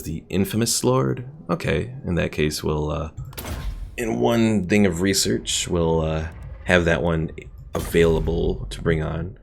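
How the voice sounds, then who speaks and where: Male streamer, low register with vocal fry, casual rambling game commentary to chat. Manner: rambling, thinking aloud. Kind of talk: casual gameplay commentary while chatting with viewers. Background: game music.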